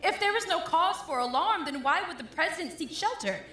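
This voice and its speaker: An insistent reporter at a press conference in a radio drama. Her voice is high and urgent as she probes, sounding in an echoey room. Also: animated, fairly quick, a bit bright. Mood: probing. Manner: pressing, questioning.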